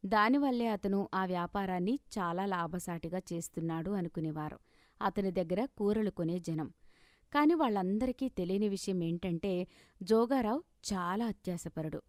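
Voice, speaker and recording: A woman with a medium-pitched voice narrates a scripted moral story. Her delivery is measured and expressive, and the recording is dry with no room sound. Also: dry recording.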